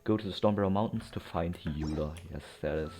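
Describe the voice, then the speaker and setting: Low-voiced sardonic gamer man. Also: brisk, warm tone. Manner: sardonic, rambling. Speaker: let's player. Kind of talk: casual gameplay commentary. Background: game music.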